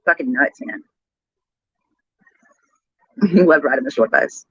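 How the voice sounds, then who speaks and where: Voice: mid-range voice, slow, fairly bright tone, slightly rough, no echo. Chatty, sarcastic livestreamer, dull sound. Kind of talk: casual livestream chat. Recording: dull lo-fi replayed livestream audio.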